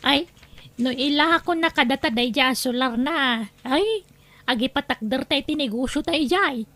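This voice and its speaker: An actress playing a scheming wife who talks in a coaxing, sly way. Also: female voice, sits high, slow pace, fairly bright tone. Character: scheming wife of a greedy man. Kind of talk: radio drama acting.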